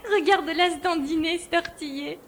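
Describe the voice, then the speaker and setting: A woman playing a spirited, resourceful young girl in a dramatised audiobook, lively and assured in her delivery. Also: extremely high pitch. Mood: amused, excited. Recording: faint background noise.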